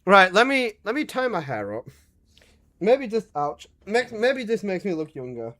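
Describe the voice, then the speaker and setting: Lively, high-voiced young man streaming. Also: normal speed. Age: 20s. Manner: swearing, joking, reading out chat. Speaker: game streamer. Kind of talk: casual chat with live chat.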